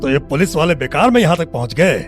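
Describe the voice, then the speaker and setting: An actor playing an enraged, abusive older husband, suspicious and crude, speaking with a rustic eastern Hindi heartland accent in an audio drama. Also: male voice, on the high side, very animated, quick pace, soft background music. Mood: furious, threatening. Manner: rustic, menacing, colloquial.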